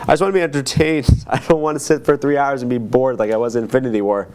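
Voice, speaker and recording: A man's voice: mid-range voice, steady tone, fast, a little mellow, a little hiss or hum. A man hosting a film podcast, talking in a casual, conversational style. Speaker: podcast host reviewing films. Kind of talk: casual chat.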